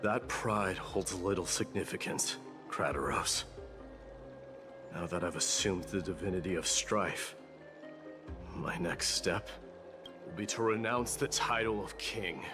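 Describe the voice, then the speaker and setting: An actor playing a proud, solemn young warrior king and war demigod in a game, speaking formally and gravely. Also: sits low, measured pace, long pauses. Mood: stern, commanding. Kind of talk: scripted game cutscene drama. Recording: game dialogue under loud music.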